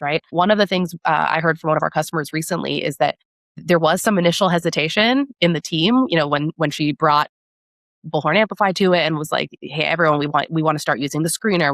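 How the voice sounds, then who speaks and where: A woman's voice: sits low, fairly bright tone, no echo, clean studio sound. A woman speaking as a podcast guest in a conversational interview, explaining things and often checking in with a tag question. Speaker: podcast guest, product marketing director.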